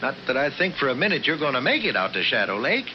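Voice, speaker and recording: An actor playing a folksy, cautious country grocer with a rural American accent in a 1970s radio drama. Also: male voice, medium pitch, big pitch swings, fast, close to an everyday voice. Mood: doubtful, cautioning. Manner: folksy, drawling backwoods phrasing. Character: folksy country grocer, cautious but obliging old-timer. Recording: dull, noisy 1970s radio recording.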